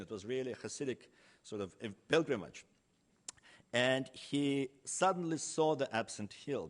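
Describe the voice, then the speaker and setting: A man giving an academic lecture as a conference speaker, in a hesitant, halting way with drawn-out pauses. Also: medium pitch.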